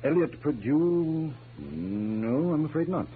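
A man's voice: a little high, big pitch swings, slow pace, slight background noise. An actor playing an anxious, polite, well-to-do man in a 1940s radio drama. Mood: anxious, earnest. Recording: muffled old radio recording.

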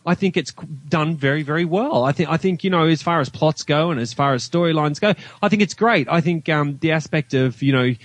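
Australian man, higher-pitched voice, talking film. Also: a little fast, few pauses, no room sound. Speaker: podcast guest reviewing a film. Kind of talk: casual film discussion.

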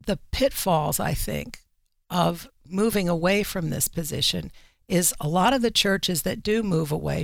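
A woman's voice: fairly low pitch, gravelly, dry recording, clean studio sound. A woman speaking as a magazine editor being interviewed, explaining her views in an earnest, emphatic, conversational way. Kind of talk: conversational interview commentary on religious issues.

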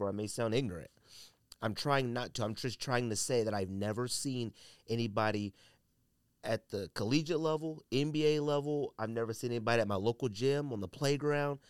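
A man's voice: lowish voice, clear, resonant tone, no room sound. A man hosting a casual solo podcast, thinking aloud and often qualifying his own points as he goes. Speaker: sneaker podcast host.

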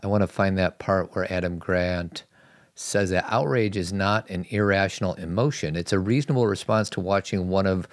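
A man co-hosting a podcast, speaking in a relaxed, gently teasing way. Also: deep voice, normal speed, no room sound, clean studio sound. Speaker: podcast co-host. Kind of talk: casual conversation.

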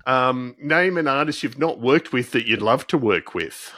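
A man's voice: mid-range voice, expressive, normal speed, sharp tone, no room sound, clean studio sound. A man hosting a casual interview podcast, effusive and affirming as he talks. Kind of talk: casual interview and show sign-off.

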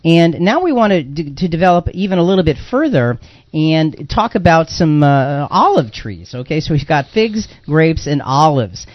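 A man's voice: on the high side, big pitch swings, moderate pace, no echo, dull audio. A man hosting a live radio Bible discussion, talking conversationally as he recaps points and puts questions to a guest. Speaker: radio show host. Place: radio studio.

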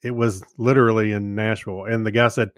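A man's voice: on the low side, level tone, quick pace, no echo. Rambling middle-aged man, close dry sound. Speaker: podcast co-host. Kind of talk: casual chat.

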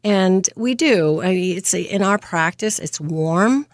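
A woman in her fifties from Greece, a nurse practitioner telling her story as an interview guest, earnest and quick to laugh. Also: fairly low pitch, lively intonation, no echo, studio quality. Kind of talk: casual interview.

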